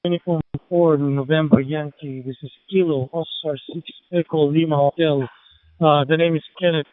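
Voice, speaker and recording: A man working as an amateur radio operator, courteous and halting, giving details and phonetic call signs. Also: fairly high pitch, fairly even intonation, no room sound. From lives in California. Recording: tinny, noisy ham radio transmission.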